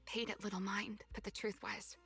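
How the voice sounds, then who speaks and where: Game role: actress as earnest, gentle young woman, mid-pitched, measured delivery, soft music underneath. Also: flat delivery, vocal fry, lightly acted. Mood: solemn. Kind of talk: video game voice acting.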